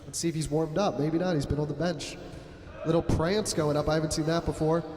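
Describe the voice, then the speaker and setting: A young man calls a school volleyball game play-by-play, loud, chatty and joking, in a somewhat high voice. He sits in an echoey gym with a long ringing reverb. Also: flat delivery, a little fast, a little hiss or hum. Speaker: play-by-play commentator. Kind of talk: amateur sports commentary.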